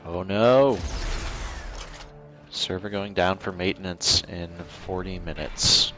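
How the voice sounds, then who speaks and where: Guy with a low voice doing chill gameplay commentary, thinks out loud, game music in the back. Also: slow pace. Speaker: game livestreamer.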